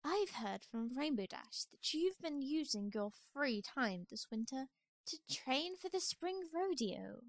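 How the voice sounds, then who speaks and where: A posh lady pony from a cartoon-style audio play. The voice is high and swoops expressively, with fussy, genteel airs. It is recorded close and dry. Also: big pitch swings, measured pace, no room sound, full character voice. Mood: brisk, fussy. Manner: affected, genteel, dramatic. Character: refined, theatrical female fashionista pony, fussy and posh. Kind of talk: amateur audio drama acting.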